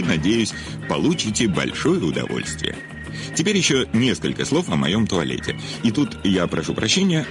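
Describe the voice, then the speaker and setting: Very deep, gravelly, jaded old writer. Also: male voice. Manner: self-mocking monologue with hesitant pauses, curt in dialogue. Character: famous cynical, hard-drinking aging male detective writer. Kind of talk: audio play acting, addressing the audience.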